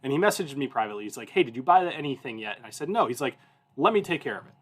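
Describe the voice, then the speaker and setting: Male host, 30s, mid-pitched voice; informal livestream chat, loose anecdotal delivery that wanders and doubles back. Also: very animated, racing, husky. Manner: informal, rambling, self-interrupting. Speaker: whiskey review show host.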